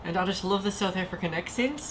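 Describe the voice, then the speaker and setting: A woman with a low voice chats casually as co-host of a livestream. She is a native Plautdietsch Low German speaker, and there is clear noise behind her. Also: fast. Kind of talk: casual chat. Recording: noticeable background noise.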